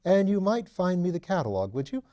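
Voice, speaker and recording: A man's voice: high voice, sing-song, quick pace, mellow, pitched above the performer's own voice. A man voicing an amiable aristocratic detective in an audiobook, breezy, leisurely and courteous. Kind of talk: audiobook character dialogue.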